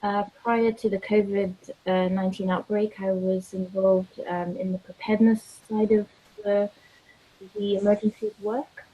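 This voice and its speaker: A woman's voice: mid-range voice, little pitch movement, measured pace, dark tone, slightly rough, muffled recording. A woman from Zimbabwe introducing herself as a panelist on a video call, friendly and slightly hesitant. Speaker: panelist, health official.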